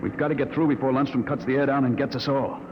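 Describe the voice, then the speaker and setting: An actor playing the determined leader of trapped rebel spacemen, urgent and commanding, in 1950s radio drama style on a noisy recording. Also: male voice, mid-range voice, fast, dull audio, lightly acted.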